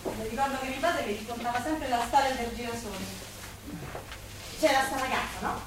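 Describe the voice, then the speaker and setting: A woman's voice: a little high, a little fast, distant mic, close to an everyday voice. An actress playing an emotional working-class Roman woman of the 1940s, a wistful, earthy storyteller in a stage drama. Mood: storytelling, wistful. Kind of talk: stage drama acting, colloquial dialect. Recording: echoey stage from afar, noisy.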